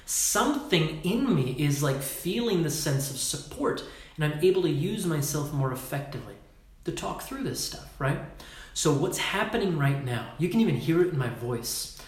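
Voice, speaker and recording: A man's voice: on the high side, big pitch swings, moderate pace, reverberant. A man leading a Facebook Live talk as a workshop leader, emphatic and casual, swearing now and then and acting out postures as he explains.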